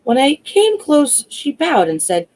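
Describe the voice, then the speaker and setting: A woman reading a classic novel aloud as an audiobook narrator, expressive but a little halting in places. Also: sits high. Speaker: audiobook reader.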